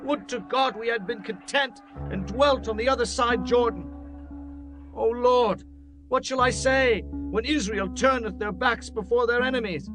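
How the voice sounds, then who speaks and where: A man's voice: very high voice, a little slow, low-quality recording. A man playing a solemn, pious Israelite leader in a dramatized scripture audiobook, speaking in declamatory archaic diction. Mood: anguished pleading. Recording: music behind the voice.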